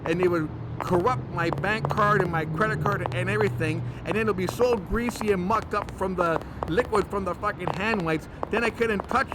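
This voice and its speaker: A high, excitable voice for a fussy male monster hunter played by a man in a comedy horror audio drama. He is testy and defensive about his germ phobia, and a shameless flirt besides. The recording has plainly audible background noise. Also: normal speed, close to an everyday voice.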